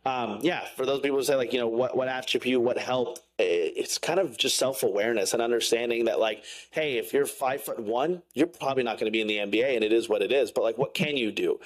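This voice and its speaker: A man's voice: medium pitch, quick pace, hardly any pauses. A man talking as a guest in a casual interview, frank and rambling, with many fillers. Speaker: guest, science content creator.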